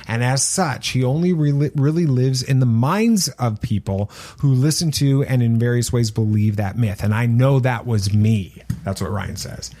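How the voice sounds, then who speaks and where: A man's voice: medium pitch, normal speed, hardly any pauses, mellow. A man hosting a casual panel podcast, leading the discussion and repeating words for emphasis. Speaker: podcast host.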